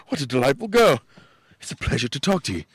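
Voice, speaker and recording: An actor playing an excitable old miser in a radio play, theatrical and stammering. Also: male voice, fairly high pitch, normal speed, slightly rough, no room sound, studio quality, full character voice. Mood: giddy joy.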